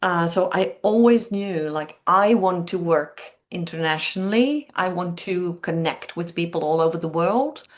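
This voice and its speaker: Slow-spoken Dutch woman in her fifties. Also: lowish voice, expressive. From Netherlands. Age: 50s. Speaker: interview guest, training coach. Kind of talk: reflective conversational interview answers.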